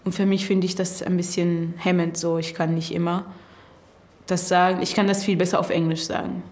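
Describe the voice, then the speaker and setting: Low-voiced woman, calm student. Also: moderate pace. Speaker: music student, singer. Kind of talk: interview answers.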